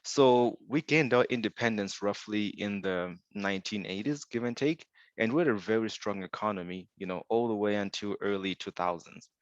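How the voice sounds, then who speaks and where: Upbeat man from Zimbabwe, low dull voice. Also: moderate pace, hardly any pauses, no echo. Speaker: insurance sales director presenting. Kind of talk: webinar presentation.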